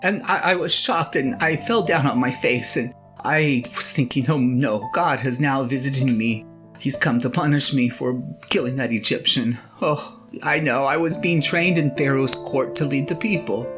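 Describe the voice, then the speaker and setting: A woman playing a sheepish, excitable eighty-year-old shepherd husband in an audio drama monologue, stammering and halting as he talks to his wife. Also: rumbling low, faint music behind. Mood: earnest, remorseful. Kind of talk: audio drama monologue, one side of a conversation.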